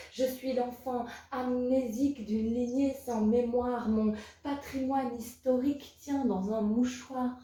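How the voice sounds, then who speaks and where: A woman from Belgium reciting spoken-word poetry as a slam poet, in a measured, rhythmic, incantatory way. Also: a little high, mellow. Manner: measured, rhythmic, incantatory delivery with repeated refrains. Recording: very echoey, distant from the mic.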